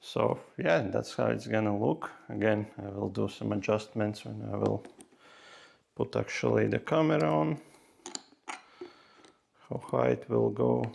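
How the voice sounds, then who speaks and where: A man's voice: on the low side, measured pace, creaky, pauses often, darkish tone. A man talking casually through a hands-on tutorial step by step, with non-native English phrasing. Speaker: host of a motorcycle gear how-to video.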